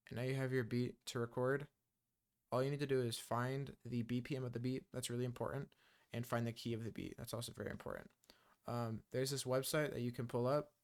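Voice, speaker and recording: A man giving a casual music-software tutorial, talking loosely with many ums and uhs. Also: mid-range voice.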